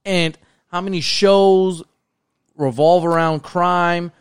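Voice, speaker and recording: Slangy American man with a higher-pitched voice. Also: steady tone, takes it slowly, clean tone, no room sound, studio quality. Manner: profane, slangy, opinionated. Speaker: podcast co-host. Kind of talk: casual chat about TV shows.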